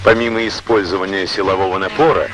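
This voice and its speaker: A man reading scripted instructional narration in a formal, measured, didactic way, on an old Soviet educational film soundtrack. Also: deep voice, steady tone, music in the background.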